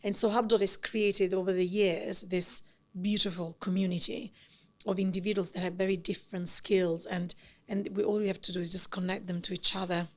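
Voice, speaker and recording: A middle-aged woman with an Italian accent, a company founder talking as a guest in a podcast interview, effusive and animated, running her thoughts together. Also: mid-range voice, fairly quick. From Italy. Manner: effusive, animated, talks in long run-on thoughts.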